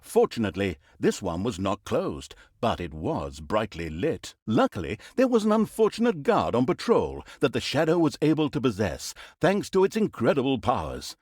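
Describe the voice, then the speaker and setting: An actor playing a wry, mischievous storyteller in a fantasy game, narrating in a theatrical, mock-dramatic, sardonic manner. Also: male voice, medium pitch, wildly swooping pitch, normal speed, hardly any pauses, a little mellow, slightly rough, no room sound, studio quality. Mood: mock-grand. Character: wry, mischievous male storyteller mocking an evil fantasy saga.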